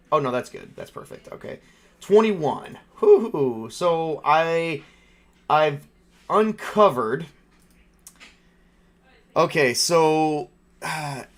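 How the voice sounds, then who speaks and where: Man casually running a tabletop game. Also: a little high. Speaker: game master and player.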